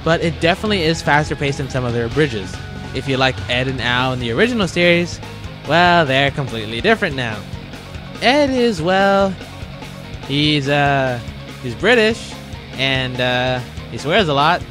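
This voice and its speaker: Guy hosting a countdown review, kinda wry n chatty, pitch jumps around, music under him. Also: lively intonation, normal speed. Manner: wry, conversational, rhetorical asides. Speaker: host of a countdown review video. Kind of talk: casual review commentary. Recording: music behind the voice. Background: guitar music.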